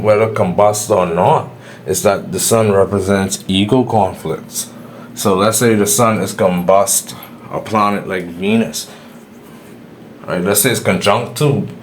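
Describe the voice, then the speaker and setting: Low-voiced Bahamian man explaining astrology. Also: slight background noise. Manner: opinionated, explanatory, a few restarts. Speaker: astrology YouTuber. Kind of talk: solo opinion monologue to camera.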